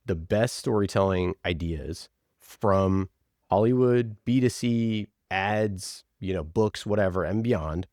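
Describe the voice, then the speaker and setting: Low-voiced emphatic man in casual interview. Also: slow pace, darkish tone, no room sound, studio quality. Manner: emphatic, passionate, uses rhetorical questions. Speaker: podcast producer and guest. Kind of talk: casual conversational interview talk.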